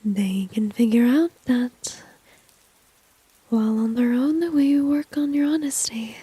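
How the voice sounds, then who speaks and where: A woman's voice: on the high side, measured pace, dark tone, slightly rough, no echo, poor audio. A woman playing a flirty, dominant, confident villain in an ASMR roleplay, whispering breathily and teasingly close to the microphone. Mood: coaxing, confident. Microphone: close microphone, dry.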